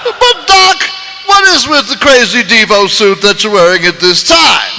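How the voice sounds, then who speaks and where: A man doing a comedian impression as an excitable young man, with exclaiming, drawn-out comic delivery at a live stage reading. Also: sits high, crowd noise, heavily acted. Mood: amazed. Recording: noisy live convention stage microphone.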